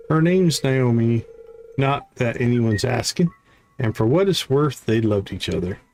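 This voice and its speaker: A man's voice: mid-range voice, darkish tone, lightly acted. A man voicing a guarded sailor's son from a video game, talking in folksy, ungrammatical seafaring speech. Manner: folksy, dropped-grammar sailor talk. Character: gruff, guarded sailor son grieving his father. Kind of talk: reading video game dialogue aloud.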